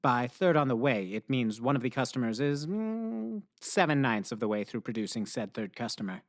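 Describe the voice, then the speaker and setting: An actor playing a pompous, awkwardly formal survivalist nerd in a radio play, with stilted, over-precise diction. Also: male voice, mid-range voice, lively intonation, moderate pace, natural voice. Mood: solemn log-keeping.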